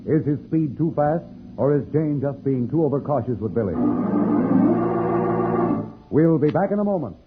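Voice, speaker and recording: A man's voice: a little high, lively intonation, moderate pace, pauses often. A man announcing and narrating a 1950s radio adventure serial in a dramatic scripted style. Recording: tinny old radio recording over loud music. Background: loud music.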